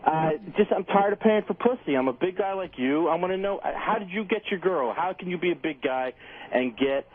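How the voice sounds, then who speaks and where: A man's voice: high voice, lively intonation, brisk, crowd noise. A man in his forties calling in to a radio show and chatting casually over the phone. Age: 40s. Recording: tinny, noisy phone line.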